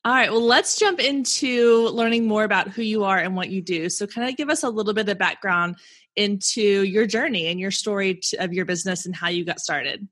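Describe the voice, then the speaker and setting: A woman's voice: mid-range voice, brisk, a bit bright. A woman hosting a business podcast, introducing and interviewing her guest with enthusiastic, effusive praise. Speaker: podcast host.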